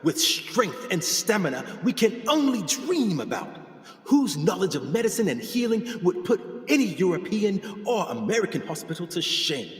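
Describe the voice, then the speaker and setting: An actor playing a proud, passionate 1920s explorer and scholar in a radio play, speaking in oratorical style. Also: male voice, high-pitched, sing-song, normal speed. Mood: fervent. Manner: declamatory, oratorical. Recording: echoey hall with long reverb.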